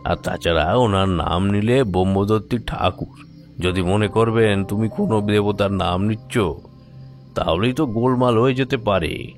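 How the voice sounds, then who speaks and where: A man playing a cautious, grumbling old man with a gravelly voice in a horror audio drama. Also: fairly low pitch, normal speed, soft background music, lightly acted. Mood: cautious, worried. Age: older.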